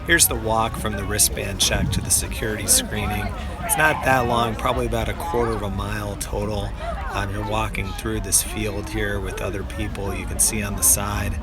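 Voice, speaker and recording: A man narrating a festival video in a relaxed, chatty way, his voice slightly hoarse. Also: fairly low pitch, moderate pace, over loud music. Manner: relaxed, chatty, many fillers. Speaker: vlogger. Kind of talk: casual voice-over narration.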